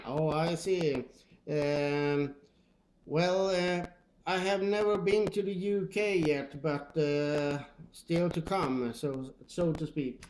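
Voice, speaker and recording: A Swedish-accented man talks casually as a CB operator, with a halting, hesitant delivery. His voice is a little high and very unhurried, with faint noise behind it. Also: darkish tone, low-quality recording. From Sweden. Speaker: CB radio operator. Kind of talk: casual two-way radio chat. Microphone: old radio microphone.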